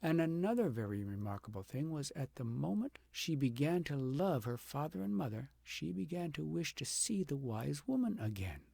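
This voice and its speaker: A man narrating a fairy tale aloud as an audiobook, with dramatic, deliberate phrasing that swells for emphasis and drops to a hush. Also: on the high side, very animated, gravelly, breathy edge, dry recording. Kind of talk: expressive storybook narration.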